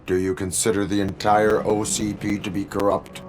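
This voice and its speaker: A man playing a stoic cyborg police officer in a video game, speaking in clipped, formal, deadpan statements with a flat robotic delivery. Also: lowish voice, moderate pace, noisy background, lightly acted. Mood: stern, matter-of-fact. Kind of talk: video game cutscene acting.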